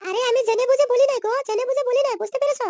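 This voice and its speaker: A man's voice: very high voice, rapid-fire, darkish tone, no echo. A man voicing a brash, scolding, scheming young woman in a comedy cartoon. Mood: indignant, explaining. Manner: scolding, threatening, slangy insults.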